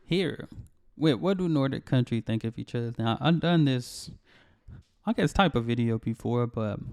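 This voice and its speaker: A man's voice: medium pitch, creaky, a little mellow. A man hosting a reaction video, chatting casually and laughing along with the clip.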